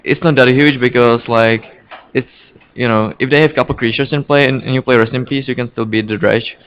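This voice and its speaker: Gravelly Czech-accented man commentating. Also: mid-range voice, fairly even intonation, fairly bright tone, a little hiss or hum. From Czech Republic. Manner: halting, repeats words. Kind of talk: casual live card game commentary. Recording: dull, lo-fi stream audio.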